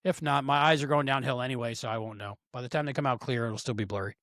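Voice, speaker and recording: A middle-aged man co-hosting a fan podcast in casual chat, jokey, tossing in quick interjections. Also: no room sound, studio quality. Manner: jokey, quick interjections, lively swoops in pitch. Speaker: podcast co-host.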